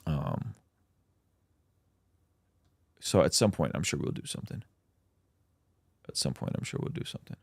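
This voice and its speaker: A man's voice: extremely low pitch, big pitch swings, a little slow, creaky, pauses often, breathy edge, dry recording, clean studio sound. A man, a native Russian speaker, hosting a casual livestream where he reads out chat questions and answers them with plenty of ums.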